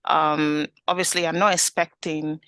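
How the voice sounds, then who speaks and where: A woman's voice: sits low, level tone, unhurried, a bit bright, dry recording, studio quality. A young woman with an Italian accent, telling her story as an interview guest, earnest and full of restarts. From Italy. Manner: earnest, rambling with many restarts and fillers.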